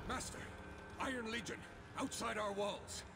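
A man playing an alarmed soldier in a video game, urgently shouting battle reports. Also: high voice, unhurried, vocal fry, over loud music.

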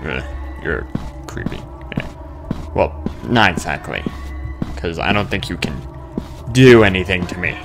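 A man's voice: low voice, lively intonation, a little slow, pauses often. A man giving casual let's-play commentary, muttering asides and bursting into sudden exclamations, as host of a gaming video. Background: game music and sound effects.

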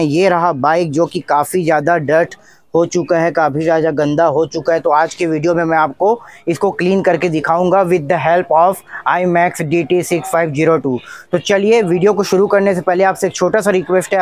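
A man's voice: a little high, no echo. A young man narrates a product demonstration vlog in a repetitive, instructional manner. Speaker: tech review channel host.

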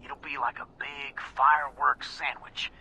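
An actor playing a folksy, rambling middle-aged resistance insider in a video game, giving awkwardly avuncular talk over a call. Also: male voice, mid-range voice, level tone, a little slow, bright, forceful tone, slight background noise, rough recording. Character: folksy, rambling male resistance insider, self-styled old hound dog.

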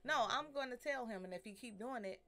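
A woman's voice: fairly high pitch, animated, brisk, sharp tone. A woman co-hosting a talk show in a small studio. She speaks bluntly and with emphasis, and chimes in to agree. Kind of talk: casual group chat. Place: small studio room, indoors. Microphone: desk mic on a stand.

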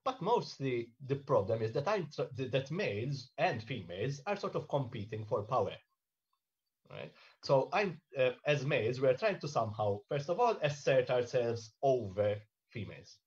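A man in his thirties on a video call in a panel discussion, explaining in a measured way and often checking in with "okay?" and "right?". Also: medium pitch, big pitch swings, darkish tone, lo-fi sound. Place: home room indoors. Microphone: webcam on a video call.

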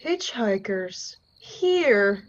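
A laconic attendant out in lonely country, played by a woman for a radio play. The talk is folksy and unhurried, at a middling pitch, on a slightly dull recording. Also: big pitch swings. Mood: laconic, musing. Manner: folksy, unhurried, plain-spoken. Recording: slightly muffled online recording.

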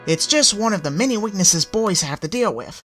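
A woman's voice: mid-range voice, animated, brisk, a bit bright, faint music behind, pitched above the performer's own voice, lightly acted. A woman voicing a smug, cocky young female dragon who explains things with a smirk.

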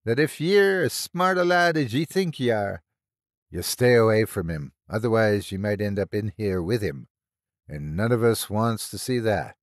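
A man's voice: on the low side, dry recording, studio quality. A man voicing a fierce, motherly old Irish woman, a salty, scolding hospital nurse in an audio story.

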